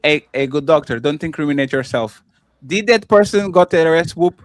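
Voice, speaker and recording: A man with a Russian accent hosting a casual panel, joking and teasing the guests with quick interjections. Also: on the high side, lively intonation, no room sound, clean studio sound.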